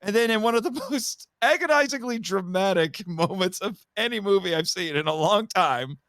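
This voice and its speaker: A man giving an animated, casual plot recap as a podcast guest, telling it dramatically and acting out the characters' lines. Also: high-pitched, normal speed, no room sound, studio quality. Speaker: podcast guest reviewing a film.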